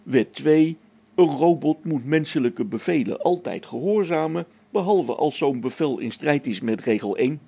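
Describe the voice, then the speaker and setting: A practical space station engineer played by a man with a creaky, mellow voice that has some husk to it. He explains patiently and matter-of-factly in a science fiction radio play. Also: on the high side, animated, normal speed, exaggerated voice. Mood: assured.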